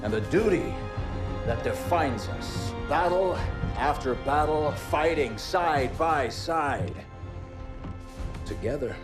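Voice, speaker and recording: An actor playing a resolute veteran warship captain giving a solemn, measured, rousing speech in a video game. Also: male voice, fairly high pitch, lively intonation. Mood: solemn, stirring. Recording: loud music behind the voice.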